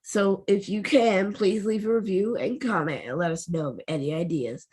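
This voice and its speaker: A woman's voice: mid-range voice, normal speed, a little mellow, husky. A woman co-hosting a podcast, reading a story recap evenly and adding playful asides. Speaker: podcast co-host. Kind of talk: casual podcast chat and read recap.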